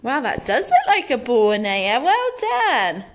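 A high, bright-pitched woman speaks slowly and encouragingly to a child at a home kitchen table. She is off camera, and a faint hiss sits under her voice. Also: wildly swooping pitch. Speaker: parent filming a child's baking video. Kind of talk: cheerful home vlog chat.

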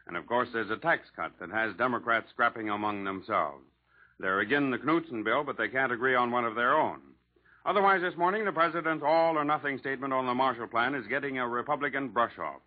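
A man's voice: medium pitch, fairly quick. An American man reporting political news in 1940s radio style, colloquial and wry, on a tinny old recording.

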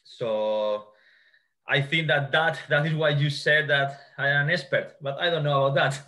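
A man from Spain with a Spanish accent talks through his slides on a video call. His voice is bright and forward, and he often circles back to repeat a word before going on. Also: fairly high pitch, moderate pace. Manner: hesitant restarts, repeated words. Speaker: guest expert, sports scientist. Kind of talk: explaining a slide presentation over a video call. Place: small indoor room. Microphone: video call webcam.

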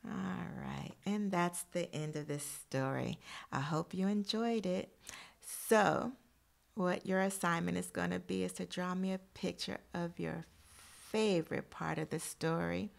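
A woman's voice: lowish voice, unhurried, gravelly. A woman teacher reading a picture book aloud expressively to young children, adding encouraging asides addressed straight to them.

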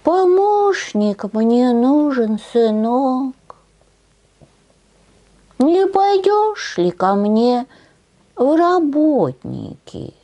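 A woman voicing a poor, patient old peasant man in a folk tale, speaking slowly in a kindly, folksy way. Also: high-pitched, very animated, mellow, lo-fi sound, full character voice. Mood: kindly asking.

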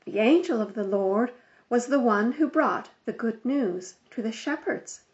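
Woman reading as a firm brisk mom bossing kids in a storybook, highish voice, slightly muffled. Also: lively intonation. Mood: polite, coaxing. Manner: firm, instructing. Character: brisk, firm mother directing a children's church pageant. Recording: slightly dull lo-fi recording.

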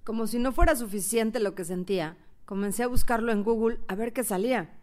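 A woman's voice: a little high, a little fast, a little mellow, lightly acted. A woman playing a hurt, jealous young woman who narrates her own story earnestly in a radio novel. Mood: hurt, confessional. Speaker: first-person narrator.

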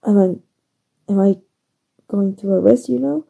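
A woman acting in an ASMR roleplay as a flustered, soft-hearted young female hero, stammering hesitantly in a soft, husky voice with a Mexican accent. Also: mid-range voice, unhurried, dull audio, exaggerated voice. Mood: flustered, smitten. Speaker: voice actor.

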